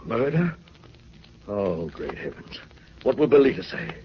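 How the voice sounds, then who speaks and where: Flustered melodramatic husband, stammering, very animated pitch, muffled noisy old radio. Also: male voice, measured pace. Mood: anguished, dramatic. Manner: stammering, theatrical. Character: nervous, self-pitying husband, flustered and melodramatic. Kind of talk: 1940s radio drama acting. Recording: dull, noisy 1940s radio recording.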